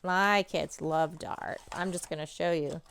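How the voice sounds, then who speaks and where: Woman with creaky, low-pitched voice, chatty, encouraging. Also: steady tone, a little slow, a bit bright, a little hiss or hum. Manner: encouraging, chatty, addressing young viewers directly. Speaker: children's author presenting craft activities. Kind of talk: casual instructional talk to children. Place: indoors at home, at a table.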